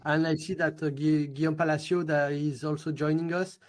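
A middle-aged man hosts an interview over a video call, his voice somewhat high for a man. He introduces guests and hesitates, repeating words as he goes. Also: little pitch movement, brisk. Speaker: host.

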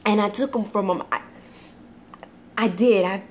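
Woman playing a guilt-wracked grieving mom, kinda unhinged, slow and halting, theatrical, bit of background noise. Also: medium pitch, darkish tone, lightly acted. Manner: halting, anguished, dramatic. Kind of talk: theatrical dramatic monologue.

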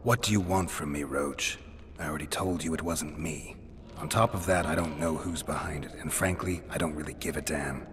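A man voicing a weary, sardonic imprisoned monster hunter in a video game, with dry, deadpan delivery. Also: extremely low pitch, moderate pace, background noise. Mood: defiant, flippant.

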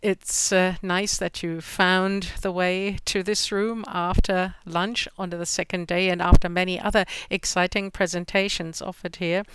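A middle-aged man with an Austrian accent presents unhurriedly at a conference. His voice is smooth and clean, and the sound is dry and close. He pauses often to search for words. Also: sits high, fairly bright tone, dry recording. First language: German. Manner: halting, searching for words, frequent hesitations. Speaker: company product executive presenting at a conference. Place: large indoor event hall stage.

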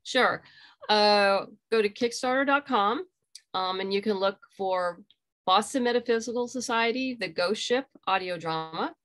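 A middle-aged woman giving casual, explanatory interview answers on a video call, haltingly thinking aloud. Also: medium pitch, a bit bright. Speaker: interview guest, audio drama creator. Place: small room at home.

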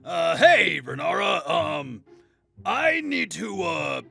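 Man acting a very creaky, sheepish blacksmith. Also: fairly high pitch, big pitch swings, sharp tone, soft background music, heavily acted. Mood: awkward, sheepish. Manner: halting, hesitant, full of ums. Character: awkward, sheepish male blacksmith ordering supplies. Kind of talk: fantasy audio drama acting.

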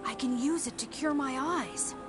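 A shy boy mage, high gentle voice, game cutscene, loud score behind. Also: normal speed. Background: loud game music.

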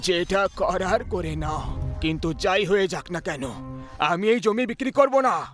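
A man playing a vengeful old farmer returned from the dead, speaking slowly and menacingly with a gravelly voice in a horror story. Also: sits high, animated, fairly bright tone. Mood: defiant. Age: older. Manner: slow, defiant, menacing. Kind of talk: dramatized horror story acting. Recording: music behind the voice.

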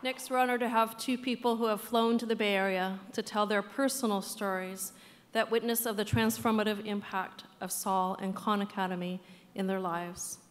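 A middle-aged woman introduces the next speaker from a podium mic in a measured, formal way. Her voice is of middling pitch, and a long hall reverb follows her words. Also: steady tone, a little hiss or hum. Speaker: event host. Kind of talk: scripted introduction. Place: stage at a large event hall.

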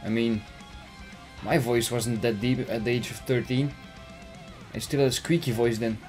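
Relaxed young gamer on a headset, offhand remarks, faint music in the background. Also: male voice, mid-range voice, moderate pace. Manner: laid-back, muttering remarks. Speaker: gamer streamer. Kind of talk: casual let's-play commentary.

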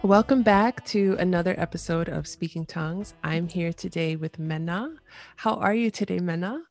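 A woman hosts an interview podcast with a slightly low voice and plenty of eager enthusiasm. She moves from a read introduction into easy, lively conversation, with faint music sometimes under her. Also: normal speed. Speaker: podcast host. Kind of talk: scripted intro then casual interview.

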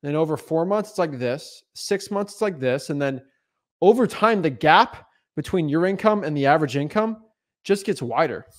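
A man's voice: fairly high pitch, animated, normal speed. A young man in his twenties giving an unscripted motivational talk as a real estate coach, intense and repetitive, recorded on a podcast mic in a small home studio. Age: 20s. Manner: intense, repeats himself, frequent "right?" and "like". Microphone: podcast mic on boom arm in front.

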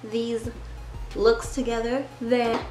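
A woman's voice: a little high, little pitch movement, takes it slowly, darkish tone. A young woman in her twenties chatting casually and playfully while doing her makeup with a friend. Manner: chatty, playful, laughs often. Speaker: vlogger. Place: small indoor room. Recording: roomy sound with music behind. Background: background music.

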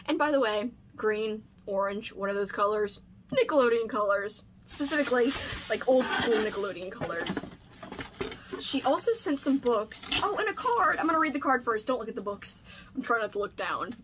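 A woman in her thirties with a fairly high voice talks to the camera like an excited friend. She gushes in a casual, animated way, and there is faint noise behind her. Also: moderate pace, rough recording. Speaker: book channel host. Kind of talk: casual chat, unboxing vlog. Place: small home room lined with books.

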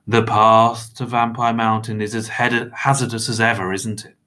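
Man playing a smug little villain, forcefully bright. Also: fairly low pitch, little pitch movement, a little hiss or hum. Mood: smugly jovial. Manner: soft-spoken menace, drawn-out mocking politeness. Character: menacing little man, smug, falsely jovial, meddling villain.

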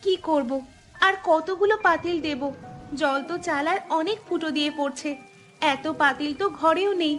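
A woman acts as an outspoken, hardworking young wife in a cartoon. Her voice is very high and insistent, over faint music. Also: moderate pace, a bit bright. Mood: exasperated. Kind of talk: cartoon story voice acting.

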